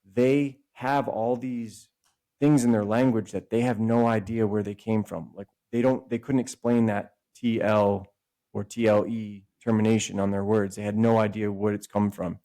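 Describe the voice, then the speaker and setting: A man's voice: lowish voice, fairly even intonation, moderate pace, dark tone. A man hosting a podcast, eagerly explaining at length with many asides and self-corrections. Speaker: podcast host and author. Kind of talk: casual podcast conversation.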